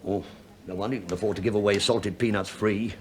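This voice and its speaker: An actor playing a sly, penny-pinching British police inspector in a radio play, wry and mock-deferential, feigning absent-mindedness. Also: male voice, on the low side, steady tone, fast, a little hiss or hum, lightly acted. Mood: wry, teasing.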